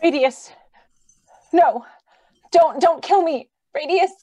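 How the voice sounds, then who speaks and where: A remorseful, idealistic wife in an old-time radio play, acted by a woman. Her high voice pleads earnestly, recorded dry. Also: slow, mellow, no room sound. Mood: distraught. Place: indoors, on a video call.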